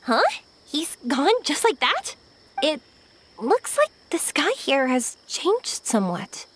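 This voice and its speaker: A woman's voice: high-pitched, big pitch swings, slow, background noise, heavily acted. A woman playing a cheerful, playful young woman in an anime-style video game, teasing and encouraging. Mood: curious. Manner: bubbly, teasing, friendly. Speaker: game character voice actor.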